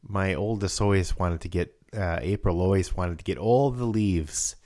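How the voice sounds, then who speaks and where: A man with a very low, raspy voice talks casually and haltingly, often restarting his sentences. He is recorded close to the mic in a dry room with no echo, and he sounds relaxed as he chats. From South Dakota, United States. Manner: halting, many restarts and fillers. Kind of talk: casual chat.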